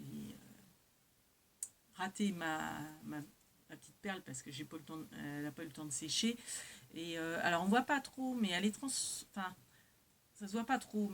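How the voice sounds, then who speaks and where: Woman rambling casually over hissy background noise. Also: fairly low pitch. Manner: hesitant, rambling, self-correcting. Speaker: craft vlogger. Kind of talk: casual haul chat to viewers, showing items. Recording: clear background noise with hiss.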